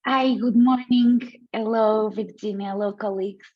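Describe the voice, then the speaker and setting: A woman's voice: a little high, steady tone, normal speed. A woman casually introducing herself in an online meeting. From Italy. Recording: muffled video-call audio.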